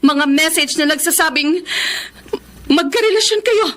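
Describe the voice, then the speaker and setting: Wounded bakery-owner wife, high voice, Filipino accent, accusing, emotional radio drama. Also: female voice, unhurried. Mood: suspicious, accusing. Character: betrayed, hurt wife, thirty-something bakery owner.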